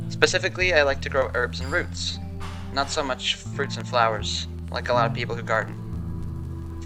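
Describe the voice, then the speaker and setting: A man's voice: medium pitch, lightly acted, low-quality recording. Male actor as fussy chatty accountant guy, 30s, goes off on tangents, audio drama story, music playing under it. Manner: digressive, opinionated, particular. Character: fussy, talkative accountant and herb gardener in his thirties.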